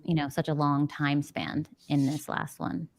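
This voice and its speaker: A woman with a fairly low voice hosts a casual book club discussion. She is expressive and exclamatory, and the sound is dry, with little room around it. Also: measured pace, no room sound. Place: indoor room, video call.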